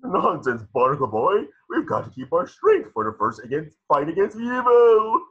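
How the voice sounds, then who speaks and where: A doddering old cartoon superhero, with a high voice that swoops wildly. He is grandiose and befuddled, husky, and recorded on a slightly dull mic. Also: wildly swooping pitch, moderate pace, heavily acted. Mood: bombastic. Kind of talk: cartoon script table reading.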